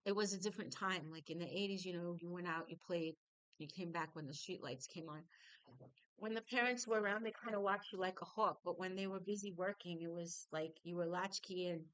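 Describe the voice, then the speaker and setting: A middle-aged woman from New York telling her childhood story, halting and reflective, with frequent fillers. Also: on the low side, a bit bright, smooth, no room sound, low-quality recording. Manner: reflective, halting with fillers, laughs through painful memories. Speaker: singer. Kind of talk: personal storytelling.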